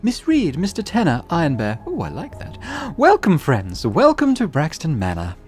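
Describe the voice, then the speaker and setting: A man voicing a tipsy, eccentric older noblewoman hosting a party, gushing in a high, fluty voice. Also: huge pitch swings, normal speed, a little mellow, faint music behind.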